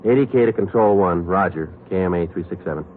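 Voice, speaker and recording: An actor playing a calm, businesslike police sergeant in a 1950s radio drama, with an American accent and clipped, deadpan delivery. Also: male voice, low voice, quick pace, slight background noise, lightly acted. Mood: terse, alert. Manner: clipped, matter-of-fact, deadpan. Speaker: lead actor and narrator. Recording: muffled vintage 1950s radio recording with music.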